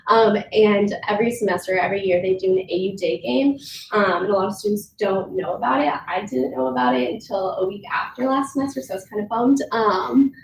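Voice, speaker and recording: A woman's voice: mid-range voice, a little fast. Congested young woman, echoey meeting room. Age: young adult. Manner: chatty, many "um"s and "kind of". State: congested, sick. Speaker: student government vice president. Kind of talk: formal meeting report. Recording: echoey room, far from mic, noisy.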